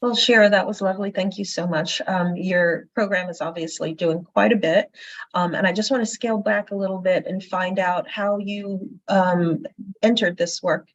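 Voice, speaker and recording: A woman's voice: medium pitch, fairly even intonation, moderate pace, no echo. A woman from the Caribbean moderating a forum, asking questions conversationally with many fillers. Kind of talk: interview questions. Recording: dry, slightly dull recording.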